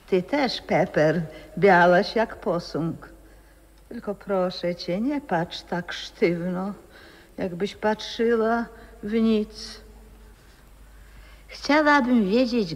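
Slow and husky, a frail old grandmother who once sang on stage drifts between whispers and wistful memory. Her speech is dreamy and unhurried. The old radio recording carries a long, hall-like reverb. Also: medium pitch. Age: older. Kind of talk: 1960s radio play acting.

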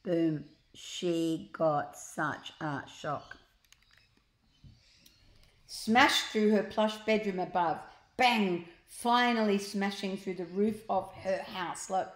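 A woman in her sixties reading a children's story aloud expressively and deliberately, with asides to young listeners. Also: mid-range voice. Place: large open living room, indoors. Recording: roomy, echoing living room.

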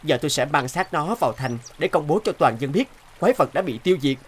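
A man voicing a brave, gentle young hunter in an animated fairy tale, earnest and protective. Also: on the high side, fast talker, slight background noise, close to an everyday voice. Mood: sincere, loving. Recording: music behind the voice.

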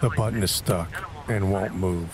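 A man narrating an audio drama in a deliberate, brooding way, pausing between short phrases for dramatic weight. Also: deep voice, crowd noise.

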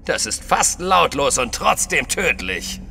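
Sharp, sneering fanatical villain. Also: male voice, mid-range voice, big pitch swings, measured pace, creaky, big-room sound, faint music behind, exaggerated voice. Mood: smug menace. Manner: menacing, boastful, theatrical. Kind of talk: German audio drama acting.

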